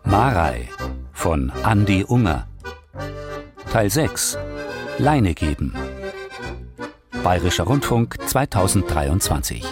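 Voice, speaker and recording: A man's voice: low-pitched, sing-song, slow pace, pauses often. A man announcing scripted radio drama credits as a programme announcer. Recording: music behind the voice.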